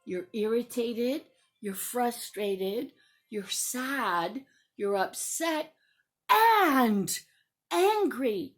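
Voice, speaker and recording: A woman acts out a patient adult teacher in a children's role-play story. She speaks slowly and gently, with deliberate, soothing phrasing and music behind her. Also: a little high, expressive, husky, heavily acted. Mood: sympathetic. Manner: slow, gentle, deliberate coaching.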